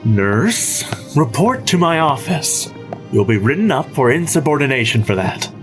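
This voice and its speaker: Male authoritarian doctor, acted, curt and imperious, mid-pitched, emphatic swings, music bed. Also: big pitch swings, moderate pace, natural voice. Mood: vindictive. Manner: commanding, curt. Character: stern, imperious asylum doctor, petty and authoritarian. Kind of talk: audio drama acting.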